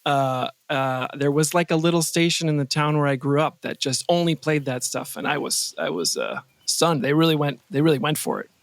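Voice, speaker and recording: A man's voice: a little high, animated, moderate pace, hardly any pauses, no echo. A man speaking as an interview guest in a casual, modest, chatty way. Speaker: podcast guest, composer.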